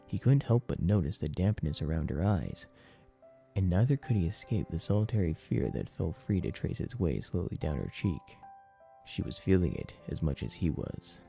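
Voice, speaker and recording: A man narrating dramatic fiction in a solemn, deliberate storytelling manner. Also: low voice, gravelly, warm tone. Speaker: audiobook narrator. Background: music.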